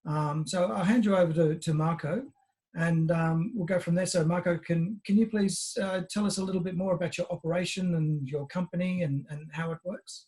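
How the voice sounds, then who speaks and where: A man's voice: high voice, fairly even intonation, normal speed, darkish tone. A man hosting a casual interview, hesitant and full of fillers.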